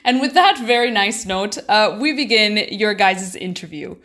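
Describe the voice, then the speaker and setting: A young woman hosts a podcast in a voice of ordinary, middle pitch. She reads her opening from a script and then moves into questions for her guests. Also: lively intonation, moderate pace, fairly bright tone. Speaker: podcast host. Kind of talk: scripted podcast intro and interview.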